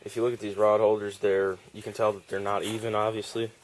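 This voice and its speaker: Guy chatting about his fishing gear, low monotone voice, faint hiss behind. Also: fast, low-quality recording. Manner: laid-back, rambling with fillers. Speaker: fishing vlogger. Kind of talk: casual show-and-tell vlog. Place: outdoor wooden porch.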